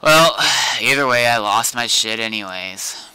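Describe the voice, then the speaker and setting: A man's voice: medium pitch, animated, measured pace, bright, forceful tone, poor audio. A man chatting casually as he streams a game, speaking in short, laid-back, mildly grumbling remarks. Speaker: game streamer and server owner. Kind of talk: casual live gameplay chat.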